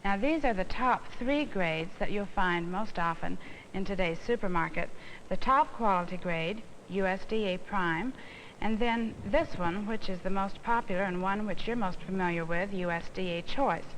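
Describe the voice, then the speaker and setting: An American woman giving an instructional demonstration, explaining things in a deliberate, didactic way. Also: mid-range voice. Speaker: instructional film presenter, home economist. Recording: noisy old instructional film soundtrack.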